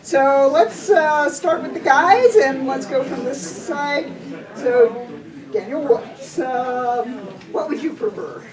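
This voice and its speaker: A woman's voice: high voice, slow. A woman hosting a live quiz game, exclaiming and cheering as she repeats the contestants' answers. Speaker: game show host. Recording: distant room recording, loud crowd. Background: loud crowd.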